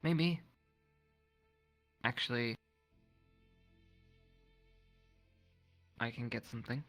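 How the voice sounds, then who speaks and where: A man's voice: mid-range voice, measured pace, a bit bright, dry recording. A man playing a polite, hesitant townsman in an improvised fantasy roleplay, answering deferentially. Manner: polite, hesitant, throat-clearing, short replies. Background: music.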